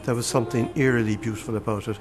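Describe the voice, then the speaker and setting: Reflective man, mid-pitched voice. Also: steady tone, racing, music in the background. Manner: reflective, hesitant with ums. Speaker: interviewee. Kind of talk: documentary interview.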